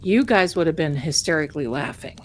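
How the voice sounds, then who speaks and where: A middle-aged woman casually chatting with her live-stream viewers as a tarot reader, rambling warmly and calling them her darlings. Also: deep voice, expressive, brisk, a little hiss or hum.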